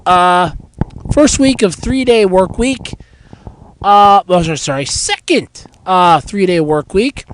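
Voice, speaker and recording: A young man hosting a casual solo podcast while sick with a cold, hoarse and stuffy, rambling candidly about his week. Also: sits high, no room sound. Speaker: podcast host.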